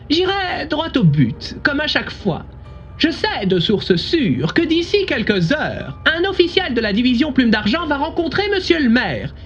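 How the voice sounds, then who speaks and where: A woman playing a blunt, self-assured journalist interviewed on the radio in an audio drama. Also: sits high. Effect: heard through a car radio. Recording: muffled radio broadcast sound.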